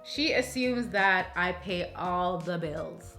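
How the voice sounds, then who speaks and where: A woman's voice: lowish voice, takes it slowly, over loud music. A woman in her thirties with a Jamaican accent, talking casually to camera in a playful, chatty way and laughing often. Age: 30s. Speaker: YouTuber. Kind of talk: casual Q&A vlog. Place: sitting alone in a room at home. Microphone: camera mic, talking straight to camera.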